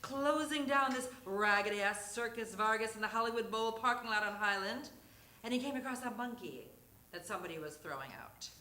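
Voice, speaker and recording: A woman reading a comic personal story live on stage, theatrically, with deliberate pauses. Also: a little high, bright, forceful tone. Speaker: reader of a humorous memoir story. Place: theatre stage before an audience. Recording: roomy, noisy live stage recording.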